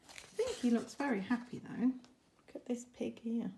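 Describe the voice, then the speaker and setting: A woman's voice: a little high, normal speed, gravelly, warm tone, slight background noise. A woman narrating a children's story expressively, like a teacher, with chatty asides to her listeners. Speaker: teacher reading a picture book.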